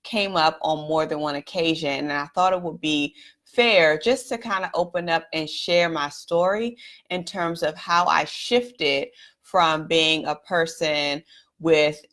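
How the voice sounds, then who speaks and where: A woman from Washington, D.C. hosting a live stream, telling her own story in a candid, reflective way straight to viewers, her voice a little raspy. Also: low-pitched, a little slow, a bit bright. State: slightly raspy voice. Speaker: host.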